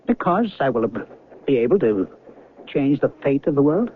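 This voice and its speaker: An actor playing an earnest, disillusioned, restless man in 1970s radio drama, speaking theatrically and emphatically with halting pauses. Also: mid-range voice, big pitch swings, lightly acted. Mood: resolute. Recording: thin phone-like old radio recording, noisy.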